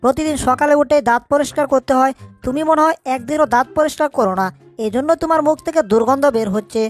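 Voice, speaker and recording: A high cartoon voice for a small, clever male mouse performed by a woman. He frets aloud and exclaims anxiously as he schemes, with faint music underneath. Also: fast talker, natural voice. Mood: fussy, coaxing. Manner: exclamatory, fretting to himself. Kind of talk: Bengali cartoon dub acting.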